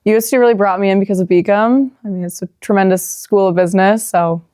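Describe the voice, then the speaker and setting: A young woman from South Dakota leads the student government and answers questions in an upbeat, confident way. Her voice is smooth and clear, with a mid-range pitch. The sound is dry and close. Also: steady tone, quick pace, mellow, no echo. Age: young adult. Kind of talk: interview answers.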